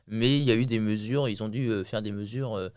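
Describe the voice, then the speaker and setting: A man's voice: fairly low pitch, fairly even intonation, a little fast, smooth, dry recording, studio quality. A man hosting a podcast interview, asking questions in a hesitant way with many fillers. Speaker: podcast host.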